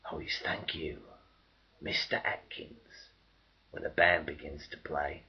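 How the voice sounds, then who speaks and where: A man reciting dramatic verse as an aggrieved, bitterly sarcastic Victorian British soldier, theatrical, with a husky, gravelly voice. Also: fairly low pitch, dull audio, exaggerated voice. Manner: theatrical, swinging from indignant shouts to mocking whispers.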